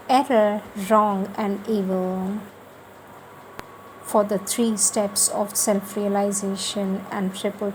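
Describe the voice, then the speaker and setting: A woman reading spiritual writings aloud in a devotional, scripted style, with measured, deliberate phrasing and pauses. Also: fairly high pitch, a little hiss or hum, low-quality recording. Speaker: reader of spiritual texts.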